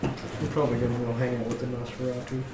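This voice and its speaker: A man playing a tabletop game with a slow, wry delivery. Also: medium pitch, flat delivery. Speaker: player. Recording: echoey room, far from the mic, faint music.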